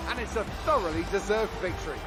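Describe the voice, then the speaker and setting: An excited, polished male motorsport commentator played by an actor calls the race story. His voice is pitched extremely high for a man. Loud music plays under the commentary. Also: fast talker, lightly acted. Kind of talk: TV sports commentary.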